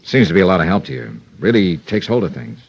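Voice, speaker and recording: Hard-boiled American investigator: lowish, expressive, politely probing, muffled golden-age radio sound. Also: male voice, creaky, slightly rough, heavily acted. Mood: quietly probing. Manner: polite, measured, quietly probing. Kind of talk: 1950s radio detective drama. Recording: muffled vintage radio recording.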